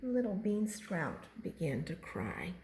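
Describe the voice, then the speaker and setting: An older woman reads a children's story aloud with expression. Her voice sits a little low, and she takes her time, narrating at a very unhurried pace. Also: huge pitch swings, a little mellow, slight background noise. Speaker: grandmother reading storybooks aloud.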